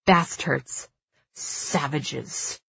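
A man's voice: fairly high pitch, unhurried, husky, no echo, muffled recording. A woman voicing a raging, domineering middle-aged king who snarls and hisses through gritted teeth, in an audiobook story. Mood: seething.

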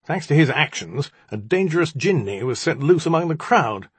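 A man's voice: fairly high pitch, sing-song, moderate pace, husky, dry recording, pitched above the performer's own voice. A man voicing a bitter, self-pitying young magician, haughty and accusing, as audiobook dialogue. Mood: cold, formal.

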